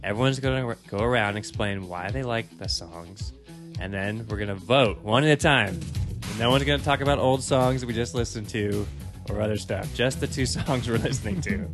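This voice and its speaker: A man's voice: mid-range voice, brisk. A man hosting a casual chat among friends, steering the format and teasing the others. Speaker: podcast host running the bracket. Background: music playing.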